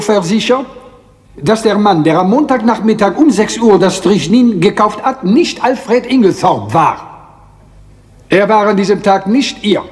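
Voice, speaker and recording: An actor playing a fussy, courteous foreign detective with a French accent, formal and pompous in a German radio crime drama. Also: male voice, sits high, long reverb tail.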